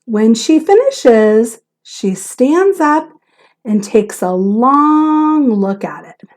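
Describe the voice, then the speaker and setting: A woman teacher reading a children's picture book aloud in a theatrical, expressive way with emphasis on key words. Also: fairly high pitch, extremely slow.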